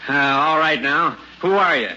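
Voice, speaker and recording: Gruff homesteader dad, higher-pitched and forceful, suspicious, folksy, old radio drama sound. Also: male voice, measured pace. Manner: folksy, rough rural speech, wary. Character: gruff, suspicious prospector father, rough frontier homesteader. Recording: muffled, noisy old radio recording.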